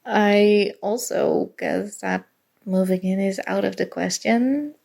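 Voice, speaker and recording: A woman's voice: medium pitch, slow, creaky, a little mellow, heavily acted. A woman acting as a nerdy, flustered college student in an intimate ASMR roleplay, speaking hesitantly and emotionally with stammers. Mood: resigned, shyly hopeful. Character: nerdy, flustered young female college student confessing a crush.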